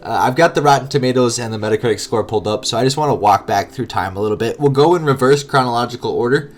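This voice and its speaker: A man co-hosts a podcast in an easy conversational way. His voice is nasal and congested, at an average male pitch. He chats casually, like someone talking with a friend. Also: quick pace. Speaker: podcast co-host.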